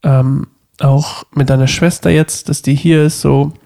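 A man's voice: on the high side, steady tone, unhurried, vocal fry, dark tone. A young man with a stuffy cold, co-hosting a casual podcast from his living room, playful and rambling. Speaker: podcast co-host. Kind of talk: casual couple podcast chat.

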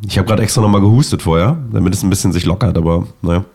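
A man in his thirties, sick and hoarse, chatting casually and joking as co-host of a podcast. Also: deep voice, rapid-fire, warm tone. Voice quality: raspy, gravelly. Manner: joking, rambling. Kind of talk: casual chat.

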